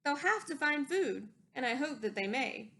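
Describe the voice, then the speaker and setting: A woman's voice: high voice, lively intonation, normal speed, creaky, pushed and bright, full character voice. A woman voicing an indignant old forest creature from a storybook, scolding emphatically in rhyme. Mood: indignant, sorrowful.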